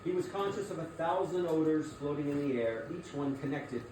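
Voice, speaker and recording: A man's voice: a little high, moderate pace, background noise. Distant male storyteller in an echoey room. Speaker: narrator. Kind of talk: scripted theatrical storytelling narration.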